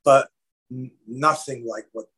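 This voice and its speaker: A man from Lebanon, an actor guest, talking in a casual, animated way, often repeating his words. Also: mid-range voice, measured pace, breathy edge. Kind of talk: casual interview chat.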